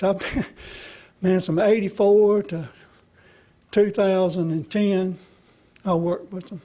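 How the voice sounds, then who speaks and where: A man's voice: sits high, darkish tone. An older man gives rambling, folksy public testimony at a podium, often checking in with his listeners. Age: older, 70s or above. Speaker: citizen activist testifying to a legislative committee. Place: large indoor hearing room with seated audience. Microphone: gooseneck podium microphone.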